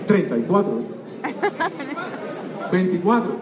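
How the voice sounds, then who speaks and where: An older man with a Cuban accent giving an energetic motivational seminar talk, constantly quizzing his audience. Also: high voice, measured pace, background noise. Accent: Cuban Spanish. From Cuba. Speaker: seminar speaker. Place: large auditorium. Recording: echoey hall, distant mic, faint crowd.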